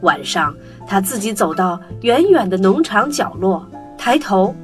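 A woman's voice: mid-range voice, very animated, a little slow, over music. A woman narrating a children's storybook tale in a scripted storytelling style.

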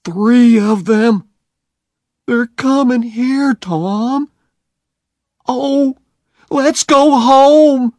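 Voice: mid-range voice, big pitch swings, very slow, long pauses, breathy edge, dry recording, pitched above the performer's own voice, full character voice. A reader voicing a frightened young boy who whispers anxiously in a graveyard. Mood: scared whisper. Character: frightened boy Huck, jittery.

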